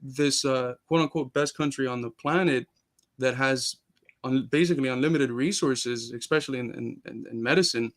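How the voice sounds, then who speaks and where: A man's voice: medium pitch, normal speed. Earnest young man from Cuba. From Cuba, raised in Miami. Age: young adult. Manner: earnest, frequent restarts and fillers. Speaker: guest. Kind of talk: casual interview conversation.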